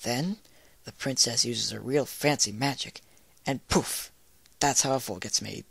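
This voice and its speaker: Uneasy female farm pony for a pony story, played by a man: folksy, hesitant, gravelly edge. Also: mid-range voice, measured pace, fairly bright tone, no room sound, heavily acted. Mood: nervous, evasive. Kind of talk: fan fiction read aloud in character.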